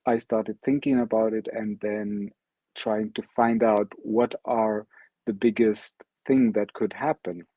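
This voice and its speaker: A man's voice: lowish voice, steady tone, takes it slowly, no echo. A man whose first language is Arabic gives an online talk with slides as a guest speaker. He speaks with frequent ums and restarts. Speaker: guest speaker presenting his art project. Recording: dry, dull lo-fi audio.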